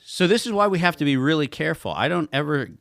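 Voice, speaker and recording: A man's voice: a little high, very animated, fast talker, creaky, fairly bright tone, dry recording, studio quality. A man speaking as a guest expert in a podcast conversation, talking emphatically and posing rhetorical questions.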